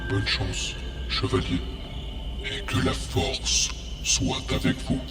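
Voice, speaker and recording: A solemn, tender ghost father played by a man speaks slowly in a fantasy audio drama. His grateful, fatherly words echo from afar over loud music. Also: medium pitch. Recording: echoey, distant, under loud music.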